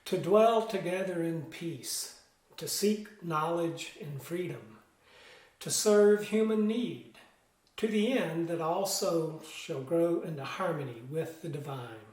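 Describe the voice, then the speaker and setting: A man's voice: a little high, expressive, takes it slowly, darkish tone. A man in his sixties giving a solemn liturgical reading as a lay reader. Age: 60s. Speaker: church board officer, lay reader. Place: room in a home, seated at a table. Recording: roomy indoor echo.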